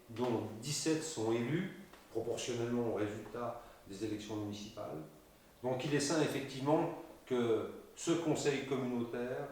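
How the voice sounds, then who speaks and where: A man recorded from a distance in a very reverberant room. He presents a local campaign programme in a measured, didactic manner, with formal wording and a mid-pitched voice. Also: a little hiss or hum. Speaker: local election candidate presenting the list's programme. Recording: very echoey room, distant microphone.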